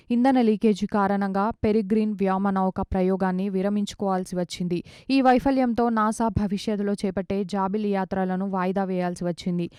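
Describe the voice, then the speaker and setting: A woman's voice: medium pitch, a little mellow, clean tone, no echo, studio quality. A woman reading scripted news as a news reader.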